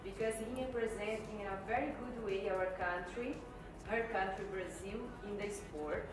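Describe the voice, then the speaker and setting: A woman interpreting phrase by phrase in halting non-native English. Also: medium pitch, far from the mic. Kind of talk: consecutive interpreting. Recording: very echoey, off-mic, noisy room.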